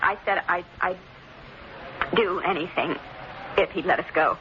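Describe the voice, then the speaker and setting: An actress playing a desperate, devoted wife with an earnest, halting delivery in an old-time radio drama. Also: female voice, fairly low pitch, lightly acted. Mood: desperate resolve. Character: desperate, devoted wife trapped by a dangerous man. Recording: noisy, dull old-time radio recording.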